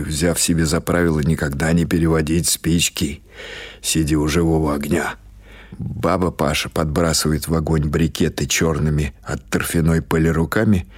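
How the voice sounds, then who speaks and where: A man's voice: a bass voice, slightly rough, dry recording. A man reading literary prose as a scripted audiobook narration.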